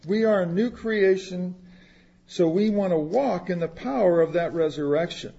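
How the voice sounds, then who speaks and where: A man's voice: high-pitched, normal speed, gravelly, warm tone, slightly rough, muffled recording. A man preaching a sermon, reading scripture aloud and explaining it in plain words, with asides to the congregation. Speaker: pastor.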